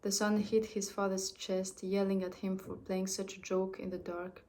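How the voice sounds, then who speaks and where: A young woman whose first language is French reads a story aloud in a level, flat voice. She stumbles now and then and restarts her phrases. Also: mid-range voice. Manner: halting, with restarts and self-corrections.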